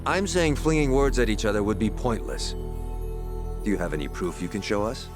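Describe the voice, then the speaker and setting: Male actor as composed yakuza officer, low, expressive intonation, formal control, game cutscene. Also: brisk, over music, natural voice. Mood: cool, courteous. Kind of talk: video game cutscene acting.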